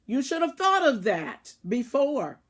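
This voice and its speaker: A woman reading a storybook, voicing a grumpy, lonely, hardworking female mouse in an emphatic, deliberate way. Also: sits high, sing-song, husky, voice pushed up, full character voice. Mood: gruff, scolding. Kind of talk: children's storybook read-aloud.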